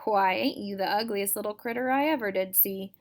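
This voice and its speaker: A woman voicing a wicked old conjure woman who gives shrill, folksy orders. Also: brisk, close to an everyday voice. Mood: gloating. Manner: shrill, commanding, rough folksy speech.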